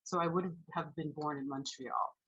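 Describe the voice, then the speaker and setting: Woman minister in her forties, French-speaking first, low husky voice, measured sermon delivery. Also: fairly even intonation, fairly bright tone, highs cut off. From Haiti. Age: 40s. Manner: measured, reflective storytelling.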